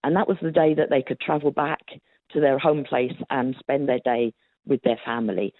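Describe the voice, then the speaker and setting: A woman's voice: extremely low pitch, steady tone, normal speed, no echo. A woman historian answering interview questions in a chatty storytelling way, laughing often and using plenty of fillers. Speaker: historian guest. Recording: tinny phone-like line.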